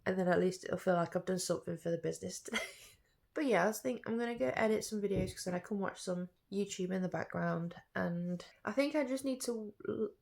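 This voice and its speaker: Late-20s woman vlogger, congested with hay fever, rambling and self-correcting, a gravelly edge. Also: mid-range voice, fairly quick, a little mellow. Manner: rambling, thinking aloud with fillers and self-corrections. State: stuffy-nosed from hay fever. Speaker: artist vlogger. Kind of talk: casual reflective vlog chat.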